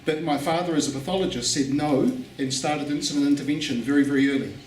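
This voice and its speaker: A man's voice: fairly high pitch, fast. New man speaking in an echoey hall. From New Zealand. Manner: relaxed, repeats words for emphasis, chuckles. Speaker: guest speaker. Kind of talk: conference talk with interpreter. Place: conference hall. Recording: echoey hall, distant mic, faint noise.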